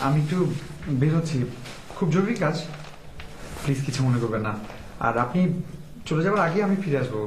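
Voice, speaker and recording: A man's voice: a little high, animated, fairly quick, long pauses, a little hiss or hum, natural voice. A man acting a polite, earnest young friend in a TV drama. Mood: apologetic. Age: young adult. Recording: roomy echo, loud guitar music behind.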